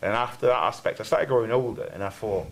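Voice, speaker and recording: A man's voice: mid-range voice, fast talker. A young man from Germany interviewing churchgoers in a loose, rambling way, restarting his questions often.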